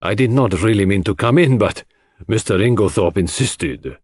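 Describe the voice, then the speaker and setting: Man playing a low-voiced, apologetic gentleman doctor. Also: animated, moderate pace, breathy edge, no room sound, studio quality. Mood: rueful, polite. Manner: polite, rueful.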